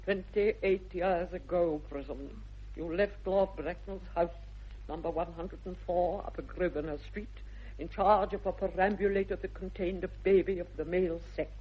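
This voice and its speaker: A woman's voice: fairly low pitch, steady tone, moderate pace, breathy edge. An actress playing a snobbish, haughty older aristocratic lady with an upper-class English accent, pompous and precise, in a British radio comedy. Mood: stern, accusing. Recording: dull vintage radio recording.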